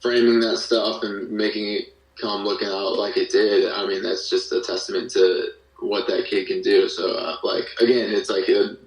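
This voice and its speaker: A man chatting casually as an interview guest, explaining his creative work in a halting but enthusiastic way. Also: on the low side, little pitch movement. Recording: roomy, distant mic, faint music.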